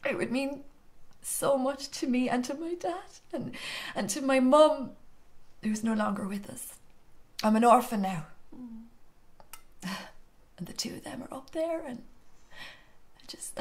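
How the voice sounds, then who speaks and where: An actress playing a lonely, needy woman in her twenties, pleading and halting, often breathy, in a radio drama. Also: high voice. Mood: tearful, pleading. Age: 20s.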